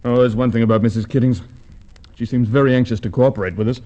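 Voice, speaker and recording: A plain-spoken, doubting Australian police sergeant acted by a man from a vintage radio crime serial. He talks fast in a mellow voice, and the old recording is dull. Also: mid-range voice, fairly even intonation, lightly acted. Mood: doubtful, puzzled. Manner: matter-of-fact, doubting. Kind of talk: golden age radio drama acting.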